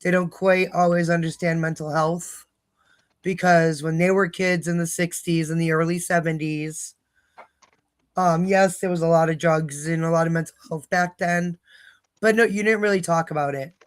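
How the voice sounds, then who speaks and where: A woman hosting a livestream who talks candidly about recovery, her voice hoarse and fading, rambling with many fillers. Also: lowish voice, steady tone, moderate pace. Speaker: livestream host. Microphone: phone.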